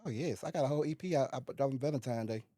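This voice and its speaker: A young man from Mississippi with a Southern accent and a high-pitched voice, chatting casually as a rapper guest. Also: very fast, dark tone, dry recording. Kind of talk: casual chat.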